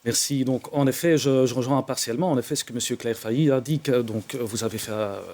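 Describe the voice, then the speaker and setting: A man speaking as a trade union representative in a televised debate, measured and restarting often, a native Dutch speaker. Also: mid-range voice, a little mellow.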